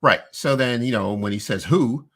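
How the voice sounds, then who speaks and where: A man co-hosting a live-streamed podcast, recapping a show episode in a loose, animated way with lots of restarts and "you know.". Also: mid-range voice, moderate pace. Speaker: podcast co-host.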